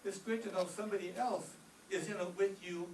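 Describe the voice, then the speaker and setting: A performer playing an eager, mischievous high school boy in an old-time radio comedy, with an excitable delivery. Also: fairly quick, close to an everyday voice. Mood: gleeful scheming. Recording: distant echoey stage with clear noise.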